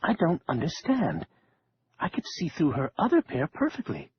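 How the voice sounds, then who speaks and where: A man's voice: fairly high pitch, wildly swooping pitch, normal speed. A man voicing a nervous, eager, bookish librarian in an audiobook, with a fussy manner. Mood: puzzled, earnest. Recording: dull lo-fi audio, faint noise.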